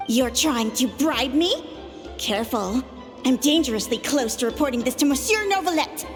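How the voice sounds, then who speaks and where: A woman voicing a tiny, excitable female fairy-like companion in a game, chirpy and indignant. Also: high-pitched, moderate pace. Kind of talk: game cutscene voice acting. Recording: game audio with reverb and music.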